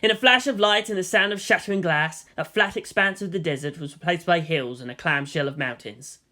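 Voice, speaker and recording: A narrator reading a story aloud in an expressive, scripted storytelling style. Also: mid-range voice, a little fast. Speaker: audiobook-style reader of fan fiction.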